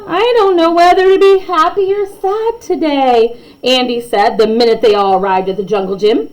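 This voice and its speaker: A woman's voice: high voice, moderate pace, rough recording. A woman reading a children's bedtime story aloud expressively, with chatty asides to young listeners.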